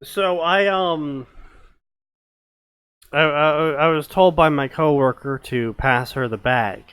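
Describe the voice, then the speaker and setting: Young male co-host, slightly high, smooth clear voice, dry close sound, deadpan anecdotes. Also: slow, no echo. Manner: deadpan anecdotes, frequent fillers. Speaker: stream co-host. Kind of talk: casual chat, storytelling.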